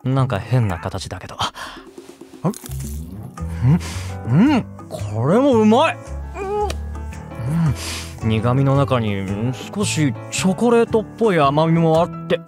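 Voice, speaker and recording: A man's voice: on the high side, huge pitch swings, a little slow, pauses often, over music. A man playing an easygoing, kindly young man, obliviously content, in a Japanese anime audio drama. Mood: relishing, easygoing. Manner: casual, easygoing.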